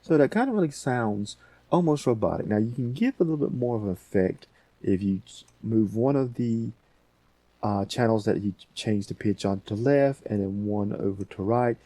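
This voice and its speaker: A man giving a casual software tutorial as host, rambling a little and thinking aloud as he talks through steps. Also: on the low side, animated, moderate pace, no echo.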